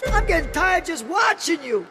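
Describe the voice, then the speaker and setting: A man's voice: very high-pitched, very animated, normal speed. A man playing a cruel, jeering, profane mob thug in a cinematic video game. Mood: mocking menace. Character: cruel mocking mob thug standing guard. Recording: music behind the voice.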